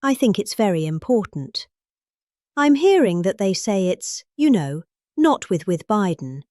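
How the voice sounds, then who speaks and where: A woman's voice: mid-range voice, big pitch swings, a little slow, darkish tone, no echo, clean studio sound. A middle-aged woman with a British accent giving a comic dramatic reading of a rambling speech, with exaggerated emphasis. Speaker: performer reading a political speech.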